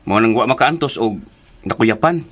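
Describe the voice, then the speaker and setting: An actor with a Filipino accent playing a gossipy, concerned friend in a radio drama, exclaiming expressively. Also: male voice, mid-range voice, expressive, normal speed, a bit bright, husky. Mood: worried, animated.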